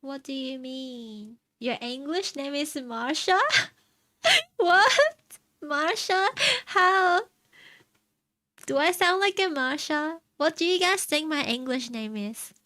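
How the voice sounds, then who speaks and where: A young woman from China chatting casually on stream, answering her chat in a soft, rambling way and laughing often. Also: high-pitched, expressive, slow, dry recording. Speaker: VTuber streamer. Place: home streaming setup.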